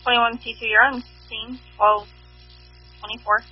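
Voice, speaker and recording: Husky woman dispatcher on muffled radio. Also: fairly high pitch, little pitch movement, measured pace, faint music behind. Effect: two-way radio. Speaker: fire dispatcher. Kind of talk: radio dispatch, formal read-backs.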